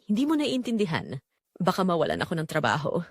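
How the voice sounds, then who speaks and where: A woman's voice: fairly low pitch, huge pitch swings, fast talker, dry recording, studio quality. A woman with a Filipino accent voicing a worried, cautious man, serious and restrained, in a story. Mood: anxious, pleading.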